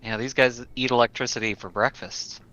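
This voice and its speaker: A man's voice: medium pitch, steady tone, fairly quick, bright, forceful tone, slight background noise. A man chatting casually as a player in an online tabletop roleplaying game, over voice chat. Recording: dull lo-fi voice chat.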